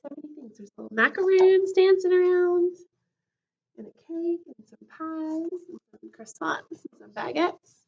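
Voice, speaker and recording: A woman voicing a young, earnest, self-doubting cookie who tells a storybook tale in a friendly, expressive way. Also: extremely high pitch, unhurried, gravelly, lo-fi sound, pitched above the performer's own voice, full character voice. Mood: cheerful, welcoming.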